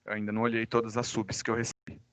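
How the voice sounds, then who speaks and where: Bright-voiced Brazilian man teaching, with a cold. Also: lowish voice, monotone, rapid-fire, dry recording. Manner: informal, conversational, addresses students directly. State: recovering from a cold. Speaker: high school physics teacher. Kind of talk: informal online class announcements. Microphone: microphone.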